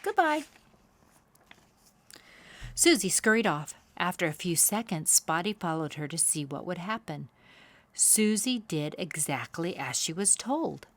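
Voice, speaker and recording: A woman hosting a read-aloud, telling a children's picture-book story with expressive, deliberate phrasing for young listeners. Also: fairly low pitch.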